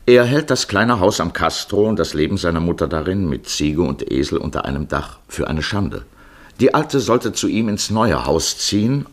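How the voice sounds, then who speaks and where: Deep-voiced man narrating a radio drama.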